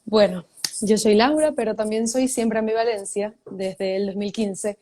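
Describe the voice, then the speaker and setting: A woman's voice: mid-range voice, unhurried, mellow. A young woman with a Venezuelan accent, telling her story as a guest on a casual video call, chatty and laughing often. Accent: Venezuelan Spanish. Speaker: guest writer. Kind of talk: casual live video chat.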